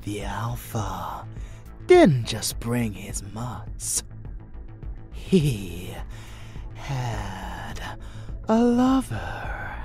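A man voicing an unhinged, seductive vampire warrior in a dark romance audio roleplay, theatrical, with breathy murmurs and sudden swells. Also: on the low side, very animated, extremely slow, long pauses, soft background music, full character voice. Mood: gloating.

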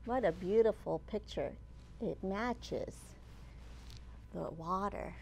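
A woman's voice: medium pitch, takes it slowly, creaky, darkish tone, a little hiss or hum. A woman in her thirties hosting a children's storytime, speaking in simple, encouraging phrases to young listeners. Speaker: children's librarian, storytime host. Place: indoors in a library children's area.

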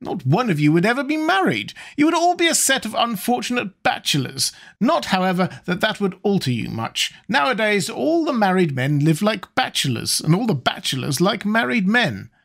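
A man's voice: sits high, sing-song, moderate pace, gravelly, few pauses, fairly bright tone, voice pushed up, heavily acted. A man reading aloud and voicing a chattering, bossy elderly society hostess. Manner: gushing, bossy.

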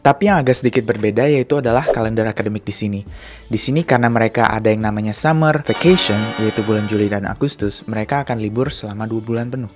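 A young man from Indonesia, a student vlogger, explaining things in a quick, chatty way into a handheld mic. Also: fairly low pitch, darkish tone. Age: young adult. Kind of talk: casual vlog narration. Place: indoor office, then outdoors on a street. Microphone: handheld mic with fluffy windscreen. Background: background music.

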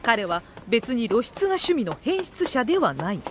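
A woman playing the dry, wry narrator of an anime comedy audio drama, reading scripted narration in a measured deadpan. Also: on the high side, music in the background.

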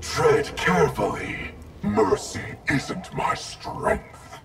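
A man voicing a cold, arrogant wraith ninja clan leader in a video game, gravelly, haughty and threatening. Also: a little high, big pitch swings, drawn-out words, full character voice. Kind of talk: video game character dialogue. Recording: music behind the voice.

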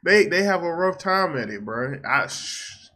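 A man's voice: on the high side, expressive, measured pace, sharp tone. A young American man giving casual, slangy reaction commentary and often repeating his phrases. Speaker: reaction video host. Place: small room at home.

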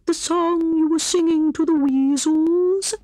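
A woman voicing a wise, sleepy old male owl in a children's storybook, with drawn-out, stately hooting speech. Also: very high-pitched, steady tone, mellow, dry recording, voice pushed up. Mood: kindly, stately.

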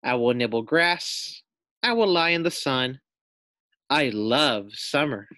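A man voicing an excitable, heroic little guinea pig in a children's storybook, with bold, dramatic, drawn-out exclamations. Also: mid-range voice, no room sound. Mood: delighted. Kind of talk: children's storybook read-aloud character.

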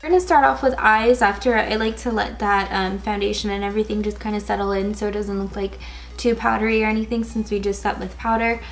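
A young woman in her twenties with a raspy, slightly hoarse voice, chatting casually through a makeup tutorial with lots of "um" and "like". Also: medium pitch, fairly even intonation, fast talker, faint music behind. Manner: casual, chatty, many fillers like "um" and "like". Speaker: beauty vlogger. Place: indoors, in front of a gold sequin backdrop.

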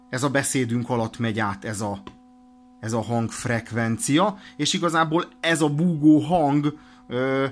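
A man explains things in an informal, halting way, thinking aloud as he presents a hands-on demonstration. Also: mid-range voice, a bit bright. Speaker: presenter of a radio equipment demonstration. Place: indoors at a desk.

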